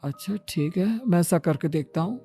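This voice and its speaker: A woman's voice: fairly low pitch, normal speed, dark tone, slightly rough, faint music behind, pitched below the performer's own voice. A woman voicing an easygoing, puzzled young husband in a Hindi cartoon story, talking casually. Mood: agreeable, grateful.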